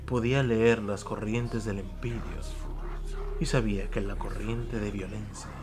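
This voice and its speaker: A man narrating a war tale as a dramatic audiobook, measured, solemn and emphatic. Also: fairly low pitch, fairly even intonation. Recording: music behind the voice.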